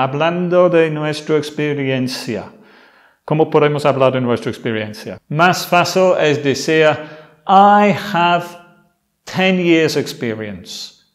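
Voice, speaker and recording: A man in his fifties from England, teaching English and pronouncing model phrases slowly and deliberately, recorded indoors on a lapel mic. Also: fairly high pitch. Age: 50s. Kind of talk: language lesson explaining in Spanish. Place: indoor room with a motorcycle behind.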